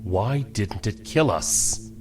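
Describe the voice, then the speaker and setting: A space captain, low voice w lots of inflection, calm n in charge, audio drama. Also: male voice, faint music behind. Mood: grave, controlled. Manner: authoritative, firm, measured. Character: calm, commanding male starship captain. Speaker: voice actor.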